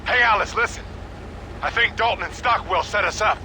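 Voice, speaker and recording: High-pitched, clipped mercenary. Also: male voice, brisk, pushed and bright, slight background noise. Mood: tense, matter-of-fact. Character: gruff, wary private military mercenary in the field. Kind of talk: video game cutscene acting.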